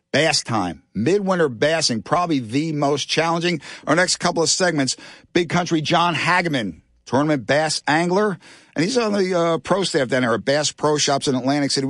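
A man with a somewhat high, nasal voice, hosting a fishing show on talk radio. He talks in an excitable, rambling way. The studio sound is dry and close. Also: no echo.

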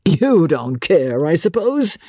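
Bluff, affectionate gentleman husband for a period audiobook, acted by a narrator, husky, with swinging pitch. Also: sing-song, slow, no echo, studio quality. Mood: mildly reproachful. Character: Rawdon, bluff, doting officer husband.

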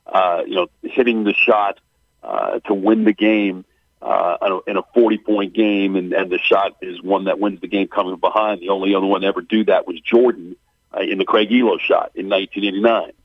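Male sports announcer guest, deep voice, blunt and opinionated, heard over a dry phone line. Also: steady tone, fairly quick, husky, dry recording. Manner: blunt, opinionated, frequent "uh" and "you know". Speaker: basketball play-by-play announcer guest. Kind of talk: radio sports interview, analytical.

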